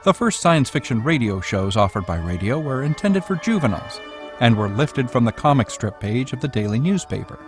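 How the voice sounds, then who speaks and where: Man, documentary narrator, scripted delivery, mid-pitched voice, music in the background. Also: sing-song, fairly quick. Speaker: narrator, presenter.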